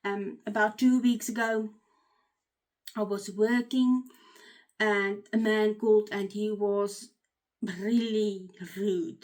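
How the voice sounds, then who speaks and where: A woman's voice: mid-range voice, a little mellow. A woman with an Afrikaans accent, a life coach giving an earnest, candid motivational talk to her channel's viewers. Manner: earnest and candid, with frequent "um" fillers and restarts. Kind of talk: personal motivational talk to camera.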